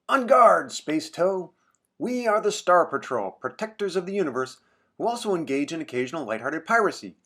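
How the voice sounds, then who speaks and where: Man playing a declamatory, high-pitched storybook space hero. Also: sing-song, normal speed, a bit bright. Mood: heroic defiance. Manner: declamatory, theatrical. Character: bold, grandiose storybook space hero confronting a villain. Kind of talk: storybook read-aloud.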